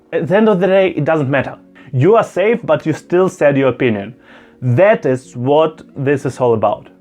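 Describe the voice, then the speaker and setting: A man's voice: a little high, a little mellow. A man, a non-native English speaker, vlogging to camera indoors in an earnest, emphatic way, repeating phrases for stress. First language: not German; Russian-speaking background. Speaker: YouTuber. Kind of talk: direct-to-camera opinion vlog.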